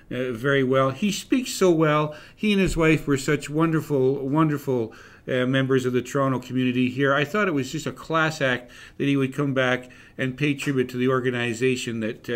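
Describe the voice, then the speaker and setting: An older man hosting a sports talk show, reminiscing in a rambling, conversational way on a studio desk microphone. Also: medium pitch. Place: indoor broadcast studio. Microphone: desk broadcast microphone.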